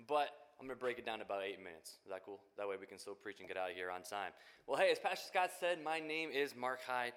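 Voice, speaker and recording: A man in his twenties speaks with an American accent and a medium-pitched voice. He addresses a congregation informally, telling his own story in a chatty way with casual asides. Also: lively intonation, fast talker, fairly bright tone, clean tone. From Northwest Indiana, United States. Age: 20s. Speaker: youth minister candidate. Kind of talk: informal personal testimony to a congregation.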